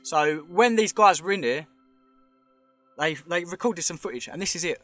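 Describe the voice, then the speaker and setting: A man's voice: fairly high pitch, animated, normal speed, a bit bright, faint music behind. A man giving rambling, speculative commentary while filming with a handheld camera in an underground cave. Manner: chatty, rambling, speculative, points things out. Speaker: vlogger exploring a show cave.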